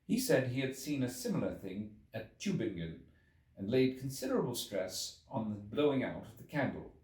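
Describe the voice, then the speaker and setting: A man reading a literary audiobook expressively, with measured, theatrical phrasing. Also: medium pitch, very animated, darkish tone. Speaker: audiobook reader. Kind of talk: expressive scripted literary narration. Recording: echoey room, distant from the mic.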